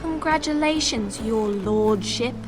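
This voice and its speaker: A young highborn sister in a fantasy game. Her voice is high and gentle, with earnest, formal phrasing and music playing behind it. She sounds caring and protective. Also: takes it slowly. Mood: calm, teasing. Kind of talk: dramatic fantasy game acting.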